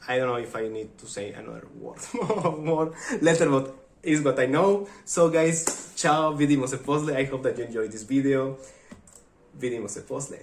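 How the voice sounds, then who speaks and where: A Mexican man with a Mexican Spanish accent, a native Spanish speaker, talking eagerly to camera in halting English. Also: mid-range voice, slight background noise. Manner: eager, chatty, halting non-native phrasing. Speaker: vlogger. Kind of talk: casual talk to camera. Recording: echoey room.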